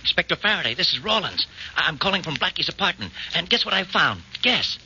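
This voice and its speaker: A bumbling, eager police underling from 1940s radio crime drama. His voice sits high with a very sharp edge. The recording is dull and old, with faint noise behind it. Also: big pitch swings, fast talker, full character voice. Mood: sheepish, hesitant. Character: eager, slow-witted male police sidekick.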